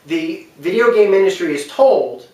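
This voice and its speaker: A man's voice: high-pitched, lively intonation, moderate pace, warm tone. A man giving an unscripted opinion talk as a commentator, speaking emphatically and repeating phrases for stress. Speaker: video commentator. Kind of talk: unscripted opinion talk about film and games. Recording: very echoey room, distant mic.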